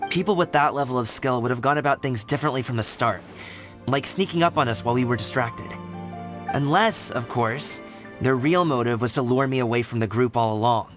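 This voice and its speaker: A man plays an earnest young man with a mid-range voice and a weary, sighing way of speaking. Game music plays softly under his lines. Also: mid-range voice, fairly quick, close to an everyday voice. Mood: confident. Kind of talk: game voice acting.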